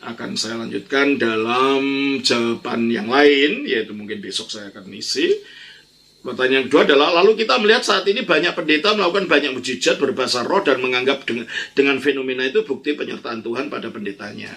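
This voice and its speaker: A man's voice: mid-range voice, expressive, brisk, creaky, a bit bright. An older man, teaching religion as a priest in an informal question-and-answer style, emphatic and prone to repeating himself. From Indonesia. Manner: emphatic, repeats phrases, explanatory with colloquial tags.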